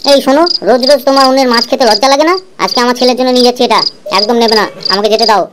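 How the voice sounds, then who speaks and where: A woman's voice: a little high, very fast, very noisy, natural voice. A woman voicing an aggrieved, scheming village father in a cartoon, talking in a chatty, wheedling way. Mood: pleading, indignant. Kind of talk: cartoon voice acting.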